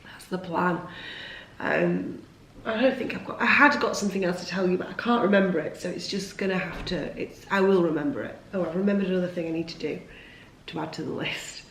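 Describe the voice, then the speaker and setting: A woman's voice: fairly low pitch, fairly quick, fairly bright tone, reverberant, slight background noise, rough recording. A young woman who has lost her voice after a cold, chatting casually and rambling to camera from home. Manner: chatty, rambling, self-deprecating. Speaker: vlogger. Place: at home, indoors.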